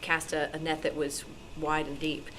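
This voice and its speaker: A woman's voice: sits low, flat delivery, moderate pace, a bit bright, a little hiss or hum, low-quality recording. A woman talking informally at a club meeting, modest and hesitant as she explains things.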